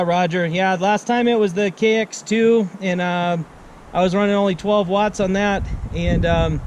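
A middle-aged American man chatting casually as a ham radio operator outdoors, halting and laid-back, repeating his phrases. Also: sits high, background noise. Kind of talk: casual radio contact chat. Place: outdoors at a picnic table on a mountain.

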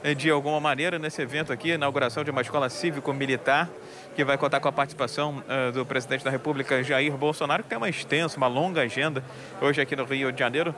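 A man's voice: mid-range voice, fairly even intonation, quick pace. A man in his thirties reporting live outdoors at a crowded event, speaking into a handheld mic through a face mask, chatty with many fillers. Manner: chatty, many fillers, addresses anchor by name. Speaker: field reporter. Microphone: handheld mic, wearing a face mask. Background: crowd murmur.

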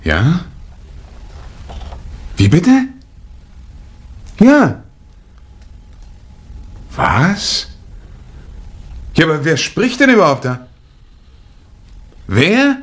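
An actor playing a bewildered, flustered husband with a puzzled, hesitant manner in a 1960s German radio crime drama. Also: male voice, fairly high pitch, wildly swooping pitch, extremely slow, pauses often, exaggerated voice. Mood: baffled, flustered. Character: bewildered husband, flustered late-night visitor.

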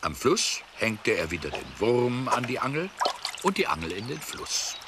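A man narrating a children's story expressively, with deliberate, dramatic pauses. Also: medium pitch, very animated. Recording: clearly noisy soundtrack.

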